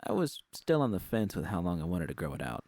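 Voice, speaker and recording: A man's voice: deep voice, sing-song, fast, darkish tone, dry recording. A man reading scripted first-person fiction as an audiobook narrator.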